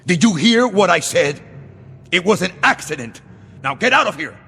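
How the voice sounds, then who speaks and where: An actor playing a paranoid, bitter revolutionary general in a 1960s television drama, with a theatrical, deliberate, menacing delivery. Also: male voice, high voice, very animated, vocal fry, pushed and bright, full character voice. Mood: angry, barking. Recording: old TV soundtrack, echoing, music underneath.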